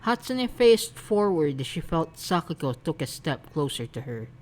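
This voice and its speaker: Brief: female narrator for romantic fan fiction, low register, soft storytelling read. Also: sing-song, moderate pace.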